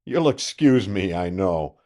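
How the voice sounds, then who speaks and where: A loud, self-satisfied sheriff, as a male reader puts him on, booms out his boasts in a raised voice that swings widely in pitch. He sounds blustering and triumphant, an old-fashioned lawman in an audiobook. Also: animated, slow pace. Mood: boastful.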